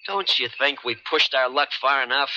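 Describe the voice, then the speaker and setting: An actor playing a warm, weary adventurous boat skipper in a 1970s radio drama. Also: male voice, medium pitch, moderate pace. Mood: wary. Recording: muffled noisy 1970s radio broadcast.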